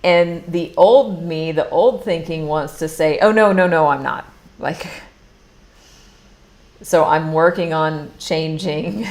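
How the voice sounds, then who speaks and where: A middle-aged American woman telling a personal story in a casual live talk, chatty and earnest, with many restarts. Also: lowish voice. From United States. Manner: earnest, confessional. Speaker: money mindset coach.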